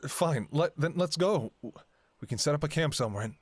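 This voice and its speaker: A man's voice: a little high, animated, moderate pace, slightly rough, no room sound. A man playing a devoted, anxious doctor talking to a lover in an intimate ASMR audio roleplay, with a hesitant, emotionally raw delivery. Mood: pleading.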